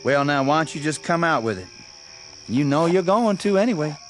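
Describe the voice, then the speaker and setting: A man's voice: on the high side, animated, a little fast, soft background music, lightly acted. An actor playing a stubborn young country man in a radio drama, with a rural Southern US accent and a folksy, plain-spoken manner. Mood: wry, challenging. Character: defiant young country man suspected of being undead.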